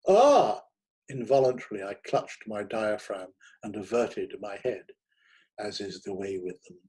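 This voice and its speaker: A man narrating a literary short story in a theatrical, expressive manner. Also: on the low side, moderate pace, breathy edge, poor audio. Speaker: reader of short stories.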